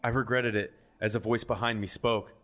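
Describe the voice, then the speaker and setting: A man's voice: lowish voice, fairly even intonation, moderate pace, a bit bright. A man reading a scripted first-person creepypasta as a horror story narrator. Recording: music behind the voice.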